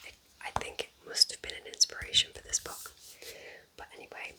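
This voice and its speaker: A woman whispering gently and unhurriedly in an ASMR style, rambling softly as she recommends things close to the microphone. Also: fairly quick. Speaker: ASMR host playing a kind witch recommending books.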